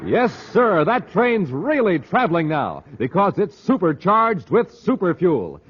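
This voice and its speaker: Male American radio announcer, higher-pitched, dramatic swooping delivery, punchy vintage serial salesmanship. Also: very animated, measured pace. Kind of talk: 1950s radio announcing, scripted. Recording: muffled, hissy old radio recording.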